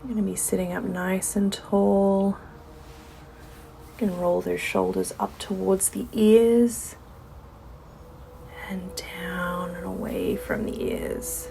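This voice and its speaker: A woman leading a guided meditation as a yoga teacher, soothing and unhurried, her soft voice often falling away toward a whisper. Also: medium pitch, level tone, creaky, a little hiss or hum, low-quality recording. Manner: unhurried instruction with gentle pauses.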